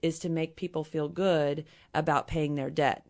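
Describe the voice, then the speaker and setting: Midwestern woman guest, hoarse, slightly low voice, earnest explainer. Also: steady tone, moderate pace, a little mellow. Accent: Midwestern American. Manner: earnest, explains with everyday examples. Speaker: company president, guest. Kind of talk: casual interview talk.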